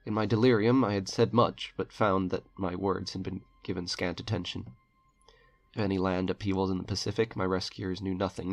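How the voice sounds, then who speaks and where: A man's voice: low voice, gravelly. A man narrating an eerie short story in a dramatic audiobook style, as a storyteller reading aloud. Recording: music under the voice. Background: eerie background music.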